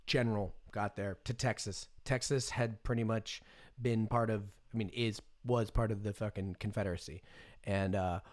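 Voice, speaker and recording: A man's voice: on the low side, a little mellow. An American man in his thirties giving casual, off-the-cuff commentary on the news as a streamer, irreverent, sarcastic and freely swearing. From United States. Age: 30s. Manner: irreverent, sarcastic, profanity-laced.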